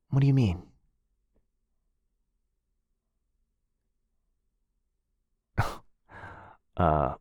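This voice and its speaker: A man playing a shy, sweet, earnest dog-person roommate in an intimate boyfriend ASMR roleplay, speaking softly and hesitantly. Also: low voice, no room sound, clean studio sound, exaggerated voice. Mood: puzzled. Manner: soft, hesitant, halting, bashfully rambling.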